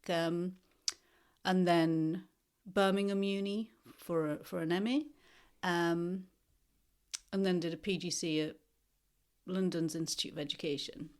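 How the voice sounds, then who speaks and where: A woman in her fifties from northeast England, a lecturer speaking as an interview guest in a thoughtful, measured way with many pauses. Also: fairly low pitch, fairly even intonation.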